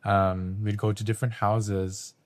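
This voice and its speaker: A young man from Canada, a guest artist, talking in a relaxed, reflective way with frequent ums. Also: on the low side, measured pace. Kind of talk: casual interview talk.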